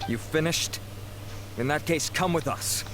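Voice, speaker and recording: An actor playing a calmly authoritative officer of the law in a video game, speaking formally and in measured phrases. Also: male voice, a little high, lightly acted. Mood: calm, official. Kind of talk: English video game voice acting. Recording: clear background game noise.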